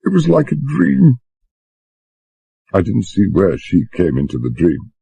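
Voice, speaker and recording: A man's voice: sits low, wildly swooping pitch, measured pace, gravelly, mellow, husky, no echo, exaggerated voice. A man voicing a frightened young woman who pleads through tears, breathless and near sobbing. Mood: pleading.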